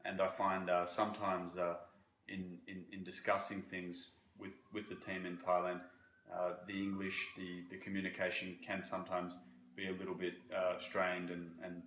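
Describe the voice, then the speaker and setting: Low, flat-voiced man, halting speech. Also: roomy sound, a little hiss or hum, low-quality recording. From Croatia. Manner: halting, with frequent fillers and restarts. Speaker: banking relationship manager. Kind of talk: interview answers.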